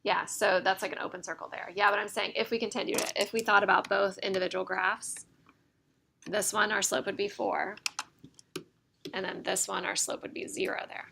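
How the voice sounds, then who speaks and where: Creaky-voiced woman teaching calculus. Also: mid-range voice, flat delivery, normal speed, background noise. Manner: explains step by step, checking in with "right?". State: slightly losing her voice. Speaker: math teacher. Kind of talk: classroom lecture. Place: classroom.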